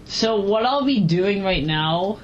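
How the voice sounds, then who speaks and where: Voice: mid-range voice, expressive, slow pace. Muffled, rambling amateur reviewer of unclear gender. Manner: rambling, repeats and corrects themself. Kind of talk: casual rambling audio log review. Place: at home, moving between kitchen and bedroom. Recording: muffled, noisy home audio with fan. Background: fan running.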